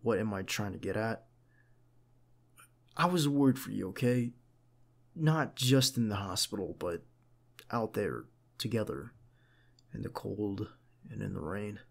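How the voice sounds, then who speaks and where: A man playing a protective, caring bodyguard in an ASMR roleplay, talking conversationally and with gentle insistence to his boss. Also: fairly low pitch, measured pace, gravelly, pauses often. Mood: hesitant, tender. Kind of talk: ASMR roleplay acting, one side of a dialogue.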